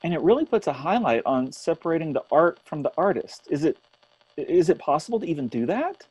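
A man's voice: fairly high pitch, very animated, brisk, darkish tone, breathy edge, no room sound, poor audio. A man acting in a radio drama as an earnest, thoughtful radio host after a zombie apocalypse. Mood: reflective. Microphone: close studio mic on boom arm.

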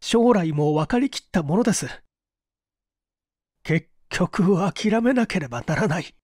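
A man acts out an anguished male secretary in an audiobook drama. He is bitter and dramatic, with slow delivery and wide swings of pitch. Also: slightly rough, no room sound, studio quality, exaggerated voice.

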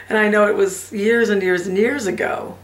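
A woman playing a wistful older mother in a dramatic monologue, hushed and halting as she recalls lost happiness. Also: mid-range voice, fairly even intonation, lightly acted. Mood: wistful, tender. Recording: echoey room.

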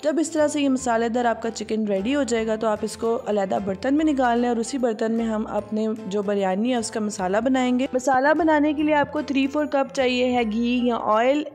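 A young woman, host of a cooking channel, giving steady step-by-step voice-over instructions for a recipe. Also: on the high side. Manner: steady, explaining step by step with many fillers. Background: soft background music.

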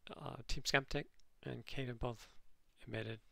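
A nasal man with a heavily gravelly, bright and forceful edge works through viewer comments from his desk. He hesitates constantly with ums and restarts, his tone dry and sarcastic. The close mic sounds very dry. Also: medium pitch, fairly even intonation, unhurried, dry recording. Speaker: channel host responding to comments. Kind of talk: casual commentary reading comments aloud.

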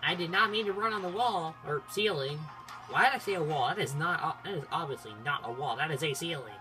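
A gamer hosting a casual playthrough, talking in a rambling, halting way and often cutting into their own sentences. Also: medium pitch. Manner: halting, rambling, self-interrupting. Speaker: gaming video host. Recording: game music behind the voice. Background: video game music.

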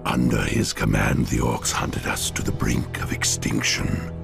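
Croaking, very deep old sage. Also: male voice, steady tone, music in the background, heavily acted. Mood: solemn. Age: older. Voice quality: extremely gravelly, rasping. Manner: solemn, measured. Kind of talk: dramatic fantasy game cutscene acting.

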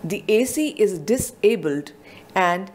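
A woman reads a scripted technical narration with a measured, explanatory delivery. Her voice sits at a middle pitch. It is a clean studio voice-over read. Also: animated, darkish tone.

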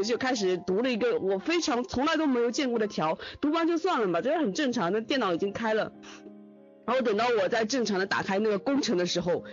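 A man with a strikingly high voice rambles animatedly through a casual story. The sound is muffled and lo-fi, with music playing faintly underneath. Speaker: audio drama post-production editor. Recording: dull lo-fi voice chat with music.

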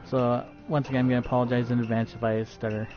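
Man, live streamer, stutters, filler-heavy, mid pitch, muffled stream audio. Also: flat delivery, very fast, faint music behind. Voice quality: stutters, frequent fillers and restarts. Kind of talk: casual live-stream commentary while gaming.

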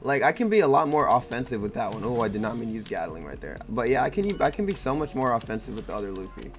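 Hoarse young man in excited gameplay commentary. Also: mid-range voice, fast talker, faint music behind. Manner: excitable, laughing, trash-talking slang. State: hoarse, losing his voice. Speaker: gaming YouTuber.

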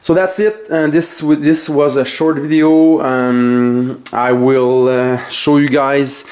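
A man with a French Canadian accent, his first language French, showing his collection in his home basement and talking haltingly with many fillers and self-corrections. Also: medium pitch, fairly even intonation, a little mellow. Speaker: collector vlogger. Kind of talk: casual collection tour. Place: home basement full of shelves.

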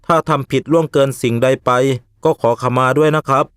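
A man voicing a respectful, sensible young village man in an animated ghost story, speaking politely and earnestly. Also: on the high side, fairly quick, clear, resonant tone, dry recording, studio quality, lightly acted. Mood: earnest, apologetic.

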